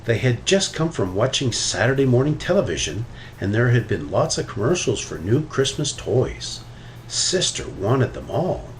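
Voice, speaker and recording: A man reading a children's picture book aloud in an expressive, animated way. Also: medium pitch, moderate pace, poor audio. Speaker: storybook reader. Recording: noticeable background noise.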